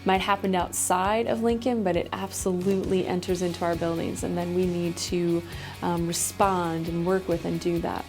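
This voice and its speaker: A middle-aged woman gives a casual interview as a school principal. Her voice sits on the low side with a frequent creak, and her intonation stays very even. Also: normal speed, hardly any pauses, faint music behind.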